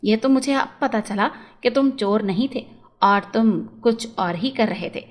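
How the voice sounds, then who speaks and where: An earnest, affectionate storybook shopkeeper, a man as a woman reads him. The voice is high-pitched. He speaks with fondness and gratitude to those he employs. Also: measured pace, lightly acted. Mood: regretful, fond. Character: grateful, affectionate male shopkeeper, employer of boys.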